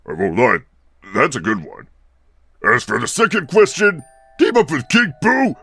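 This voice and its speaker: Scheming cartoon monster king: gravelly, forceful, theatrical menace. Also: medium pitch, wildly swooping pitch, moderate pace, no echo, heavily acted. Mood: grudging. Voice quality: gravelly, raspy. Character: gruff scheming turtle-monster king, cartoon game villain. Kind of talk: cartoon villain voice acting.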